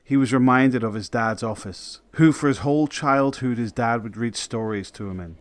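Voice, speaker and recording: Male narrator for scripted audio drama, dry close sound, medium pitch. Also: moderate pace, dry recording.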